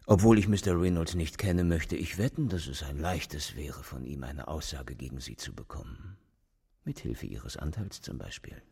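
Very deep, gravelly police chief. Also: male voice, animated, warm tone, full character voice. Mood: coldly pressing. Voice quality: gravelly, husky. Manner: measured, formal, veiled threats. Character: senior police official, smooth, calculating, coldly coercive. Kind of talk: radio drama acting.

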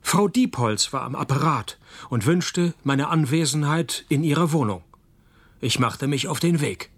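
An actor playing a wry, cynical private detective who narrates a radio play with dry, deadpan, laconic delivery and a husky voice. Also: male voice, a little high, unhurried, full character voice. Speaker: detective and first-person narrator.

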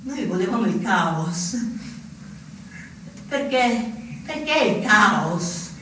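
An actor playing an agitated, furtive neo-fascist in a halting theatrical monologue, repeating himself insistently. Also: male voice, high-pitched, big pitch swings, sharp tone, heavily acted. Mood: nostalgic, scheming. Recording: echoey distant stage recording, faint noise.

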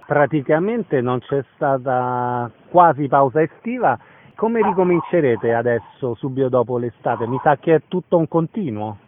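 A man's voice: medium pitch, expressive, moderate pace, a little hiss or hum. Male radio interviewer, narrow phone-like sound. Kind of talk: conversational arts interview.

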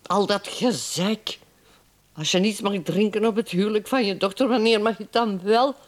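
An actress playing a weary, bitter middle-aged wife who drinks, terse and sullen, in a radio drama. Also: female voice, mid-range voice, moderate pace, natural voice. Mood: bitter, dazed. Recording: music bed behind the voice.

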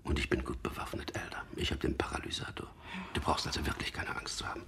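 An actor in a German radio drama playing a resolute man on a secret mission, narrating deliberately and introspectively in a gravelly, husky, hushed voice. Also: in a whisper, lightly acted. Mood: reassuring, resolute. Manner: deliberate, introspective, recounting events.

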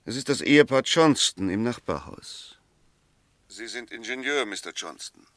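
A man's voice: mid-range voice, animated, moderate pace, a bit bright, dry recording, natural voice. A man playing a precise, argumentative defence lawyer in a formal 1960s German radio drama. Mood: probing, formal. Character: insistent, argumentative male defence lawyer questioning a witness.

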